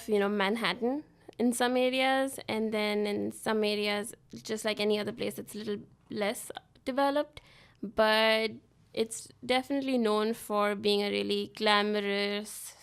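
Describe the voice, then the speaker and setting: A young woman who grew up in Dubai talks through her answers in an easy, thoughtful way as a studio interview guest. Her voice sits at a middle pitch with a noticeable gravelly fry. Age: 20s. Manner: relaxed, thoughtful, laughs easily between thoughts. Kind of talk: conversational interview. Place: radio studio.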